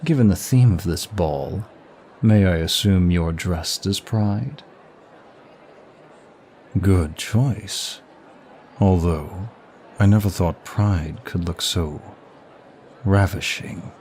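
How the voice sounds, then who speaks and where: A man's voice: low voice, slow, long pauses, darkish tone, a little hiss or hum. A man playing a smug, seductive demon of lust in an intimate ASMR roleplay, with suave, teasing and formal flirtatious phrasing.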